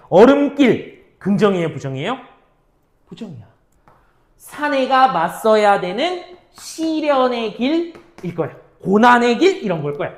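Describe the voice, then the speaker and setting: A woman teaching exam-prep literature, firing off questions and answering them herself, mixing casual and polite speech. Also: medium pitch. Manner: mixes casual and polite speech, checks understanding often. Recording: roomy echo.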